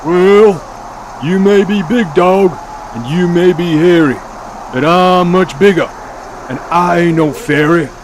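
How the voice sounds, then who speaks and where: A man's voice: high voice, slow pace, background noise, pitched above the performer's own voice. A man voicing a big fat hairy bear who drives a truck, belligerent and taunting, in a children's storybook reading. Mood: mocking.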